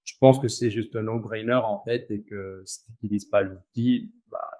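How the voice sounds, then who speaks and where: A man with an Argentine accent, talking casually as an interview guest, relaxed and slangy, with frequent fillers and restarts. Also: fairly low pitch, normal speed, dry recording. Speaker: guest, company founder.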